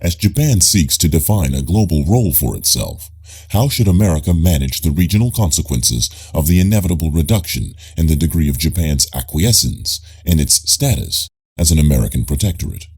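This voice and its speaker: A man narrates nonfiction in a low, husky voice. His reading is formal and measured, as in an audiobook, yet the pitch moves in a lively way across each sentence.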